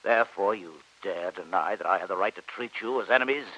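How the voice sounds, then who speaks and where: Proud submarine commander of vintage radio drama; low, forceful, formal theatrical delivery; dull recording. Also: male voice, quick pace, slightly rough, heavily acted. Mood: indignant. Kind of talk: old-time radio drama acting. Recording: muffled old radio recording.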